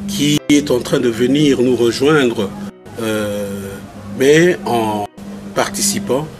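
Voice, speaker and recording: Slow-talking congolese teacher guy, 50s, outdoors, music bed under him. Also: mid-range voice. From Kinshasa, Congo. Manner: measured, with pauses and set phrases. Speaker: teacher giving a testimonial. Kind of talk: testimonial interview. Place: outdoors in a garden.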